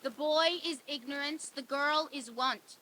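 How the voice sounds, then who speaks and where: A woman's voice: high voice, a little slow, bright, forceful tone. A woman playing a solemn, foreboding Christmas spirit in a staged radio play reading. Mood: grave warning. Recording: hissy, noisy live stage recording.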